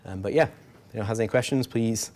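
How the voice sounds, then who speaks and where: A man's voice: fairly low pitch, fast, a little mellow. A man in his thirties giving a casual, wry tech meetup talk at a podium microphone. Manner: casual, filler-heavy, wry. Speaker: conference speaker.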